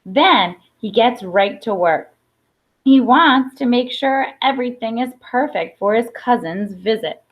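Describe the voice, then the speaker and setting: A woman reading a children's picture book aloud expressively, with pauses for emphasis and small asides. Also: on the high side, expressive. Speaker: storybook reader. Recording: dull, lo-fi sound.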